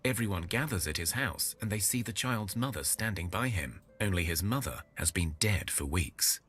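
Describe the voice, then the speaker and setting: A man reading out dark folklore event text from a game with dramatic storytelling delivery. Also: sits low, animated, moderate pace, faint music behind. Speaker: game narration reader.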